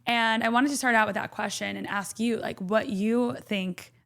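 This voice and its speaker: A young woman hosting a podcast interview, chatty and lively with quick asides. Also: on the high side, vocal fry. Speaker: podcast host.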